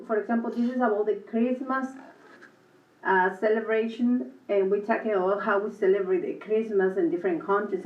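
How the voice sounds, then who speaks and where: A woman's voice: mid-range voice, slight background noise. Woman with a Mexican Spanish accent. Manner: simple broken English, short phrases. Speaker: world language teacher. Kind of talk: presenting at a school committee meeting. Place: school meeting room. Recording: echoey room, distant, muffled.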